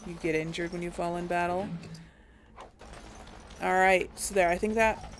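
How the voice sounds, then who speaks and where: A woman's voice: lowish voice, steady tone, normal speed, slight background noise. A young woman chatting casually through a video game, thinking aloud and correcting herself as she goes. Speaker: let's play host. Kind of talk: casual gameplay commentary.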